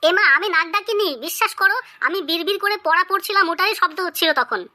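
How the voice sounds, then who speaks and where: Woman voicing a cheeky high-pitched cartoon schoolboy. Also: fast talker, bright, forceful tone, full character voice. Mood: pleading.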